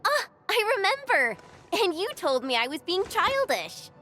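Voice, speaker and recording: Casting: impulsive young female fighter for a game, high, very lively intonation, teasing and exclaiming. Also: unhurried, faint music behind, exaggerated voice. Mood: flustered. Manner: expressive, exclaiming, informal. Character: hot-headed, teasing young woman warrior, impulsive and good-hearted. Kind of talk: video game voice acting.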